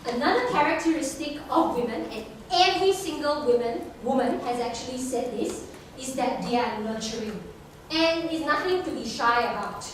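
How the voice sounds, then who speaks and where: A woman giving a rushed conference presentation on a handheld microphone, hurrying and apologizing with laughing asides. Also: sits high, expressive. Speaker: conference speaker. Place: conference hall. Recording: echoey hall from far off, noisy.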